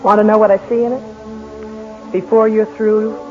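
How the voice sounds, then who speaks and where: A woman's voice: mid-range voice, flat delivery, unhurried, narrow, tinny audio, over music, natural voice. An actress playing a wry young woman newspaper editor who teases and probes, in 1940s radio drama style. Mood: teasing. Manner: wry, probing, lightly mocking.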